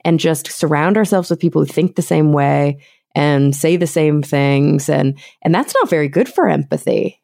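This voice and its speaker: Low gravelly woman hosting a chatty podcast, talks in long thoughtful runs, dry close sound. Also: normal speed, a little mellow, no echo. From Texas, USA. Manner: thoughtful, talkative, builds long points. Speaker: podcast host. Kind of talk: casual interview chat.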